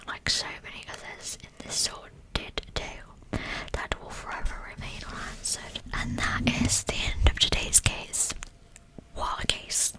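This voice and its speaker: A woman hosting true crime ASMR, telling her material entirely in a soft, breathy, unvoiced whisper. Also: poor audio.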